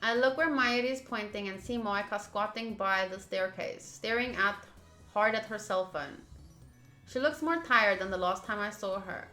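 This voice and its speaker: A woman's voice: medium pitch, normal speed, pushed and bright. A woman streaming a game, reading visual novel text aloud and slipping into chatty, casual asides to viewers. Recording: roomy echo. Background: game music.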